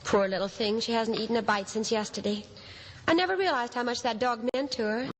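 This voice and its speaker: A woman playing a gentle, worried mother, soothing yet firm, in old-time radio drama style. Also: fairly high pitch, brisk, lightly acted. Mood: concerned. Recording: noisy vintage radio drama recording.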